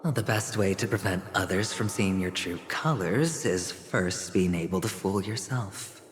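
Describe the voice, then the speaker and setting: A man's voice: low voice, unhurried, heavy reverb, long reverb tail, a little hiss or hum. An actor playing a smug young male gambler in a video game cutscene, taunting in a mocking, teasing drawl.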